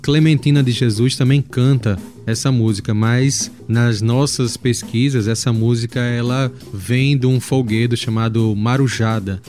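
A man's voice: medium pitch, fairly even intonation, unhurried, few pauses. A Brazilian man hosting a music show, chatting casually and telling anecdotes between songs. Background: music playing softly behind.

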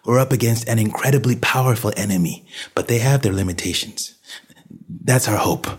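A man's voice: lowish voice, a little fast, husky, voice pushed up. A man voicing a frantic, commanding husband and father in a dramatic audiobook, barking urgent, insistent lines. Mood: frantic, intense. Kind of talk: dramatic audiobook character reading.

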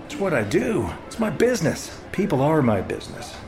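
A man plays a smooth villain in an audio thriller, posing as a salesman. He talks in a slightly high male voice, cocky and knowing, with music clearly behind him. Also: huge pitch swings, brisk. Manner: knowing, teasing, self-assured. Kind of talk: audio drama thriller acting.

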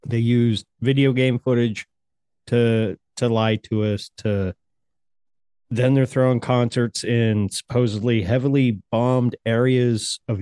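A man's voice: mid-range voice, mellow, clear, resonant tone, dry recording. Heated, profane male co-host, sarcastic rants, dry and close. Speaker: podcast co-host. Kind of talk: casual chat.

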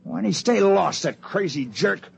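An actor playing a coarse, sneering, griping spaceman with rough slangy speech in a 1950s radio drama. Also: sits high, lively intonation, moderate pace, full character voice. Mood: scornful. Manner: slangy, ungrammatical rough talk. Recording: noisy 1950s radio recording.

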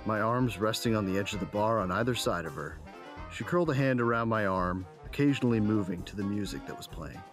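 A man's voice: fairly low pitch, a little fast, over music, close to an everyday voice. An actor playing a devoted husband and adventurer who narrates his own story in an audio drama. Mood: somber narration.

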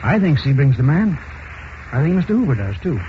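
An actor playing a brisk, businesslike male FBI superior in 1940s radio style, clipped and matter-of-fact. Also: fairly high pitch, sing-song. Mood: firm, sober. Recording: muffled noisy vintage radio recording.

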